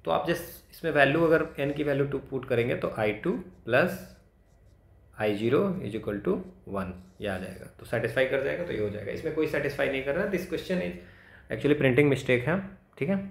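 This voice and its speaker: A man's voice: mid-range voice, moderate pace, gravelly, reverberant. A man tutoring math, solving exam problems aloud, thinking through steps and correcting himself.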